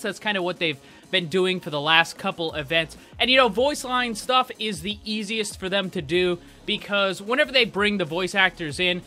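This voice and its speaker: Bright, high-pitched man talking gaming news. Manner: informal, chatty, frequent "like" and "you know". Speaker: YouTube gaming host. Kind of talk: casual gaming news commentary.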